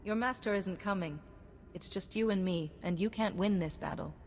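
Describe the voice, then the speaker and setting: An actress playing a calm, self-assured female Jedi hero in a video game. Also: mid-range voice, moderate pace, a little hiss or hum, close to an everyday voice. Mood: steady. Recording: game audio with long reverb.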